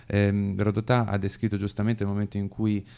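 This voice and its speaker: A man giving semi-improvised political commentary in a halting way, often searching for his words. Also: on the low side, monotone, vocal fry, mellow, smooth. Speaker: radio commentator.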